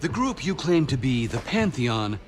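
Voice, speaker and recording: A man's voice: mid-range voice, very animated, moderate pace, natural voice. An actor playing a stern male intelligence chief in a game cutscene, sardonic and probing as he questions his agents. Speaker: superior officer. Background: game score music.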